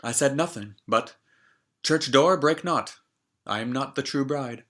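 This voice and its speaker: A man voicing a meek young maiden in a storybook reading, gentle and solemn in manner. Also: mid-range voice, measured pace, pitched above the performer's own voice. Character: meek, earnest young maiden, true bride.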